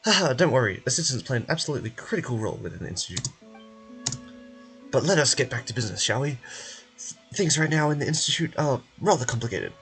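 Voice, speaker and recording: A man's voice: medium pitch, animated, fast talker, fairly bright tone, soft background music. Man playing a wordy, officious institute official. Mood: polite, businesslike. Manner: wordy, formal, polite. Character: formal, officious research institute official, businesslike adult.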